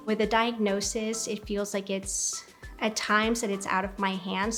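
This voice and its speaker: Young woman, 20s, from Ecuador, documentary interview, reflective and earnest, mid-pitched, light music bed. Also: a bit bright. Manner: reflective, earnest, frequent ums. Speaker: graduate student.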